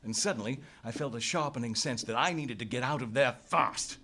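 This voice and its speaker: A man's voice: mid-range voice, brisk, sharp tone, soft background music. An actor playing a beleaguered, stoic adventurer hero in a live radio play, telling his story in a formal, dramatic way. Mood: tense, dramatic. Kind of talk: live radio play acting, scripted first-person narration. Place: theatre stage, live performance. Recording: noisy live stage recording.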